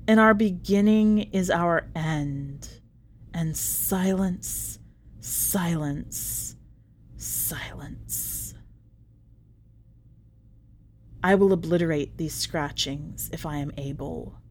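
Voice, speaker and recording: A woman voicing a despairing, obsessive imprisoned inmate in a dramatic story reading, solemn and incantatory, with deliberate pauses. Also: lowish voice, darkish tone, a little hiss or hum. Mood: tender, resigned.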